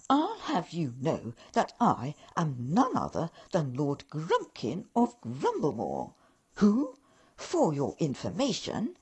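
A woman voicing a pompous, self-important male rat lord who speaks indignantly and loudly. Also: medium pitch, slightly rough, exaggerated voice.

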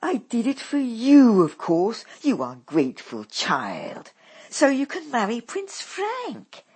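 A woman's voice: fairly high pitch, huge pitch swings, takes it slowly, a little mellow, husky, pitched above the performer's own voice, exaggerated voice. A woman reading a storybook aloud, voicing a bossy, scornful stepmother witch who snaps orders at her daughters.

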